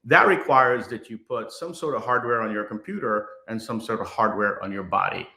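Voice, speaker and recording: Guy from guyana doing a keynote over a video call, bright voice, roomy echo, lots of uhs. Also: lowish voice, vocal fry. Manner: measured, with frequent fillers and restarts. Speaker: keynote speaker. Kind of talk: remote keynote presentation with demo. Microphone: computer mic on video call.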